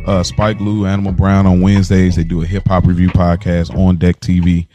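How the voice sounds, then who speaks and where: A man's voice: low-pitched, monotone, brisk, warm tone, faint music behind. An American man co-hosting a podcast, talking informally and slangily, as if to a close buddy. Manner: informal, slangy, addresses partner as bro and man. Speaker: podcast co-host. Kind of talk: casual chat.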